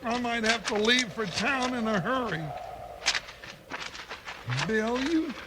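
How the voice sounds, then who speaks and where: An actor playing a cowardly, superstitious, comical cowboy sidekick in a 1950s radio western, fretting in a folksy American western drawl. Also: male voice, very high-pitched, slow. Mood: nervous. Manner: fretful, whiny, folksy. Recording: noisy, clicking old radio recording.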